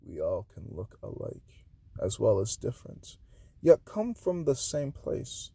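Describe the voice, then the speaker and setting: A man from Haiti reading his own spoken-word poems aloud, swinging between loud declarations and hushed, breathy asides. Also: mid-range voice, huge pitch swings, unhurried, dry recording.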